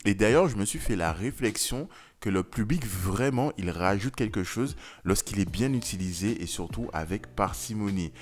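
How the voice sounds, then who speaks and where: A man's voice: on the low side, expressive, normal speed. A man giving casual, conversational video commentary as a review host, opinionated and slangy in his wording.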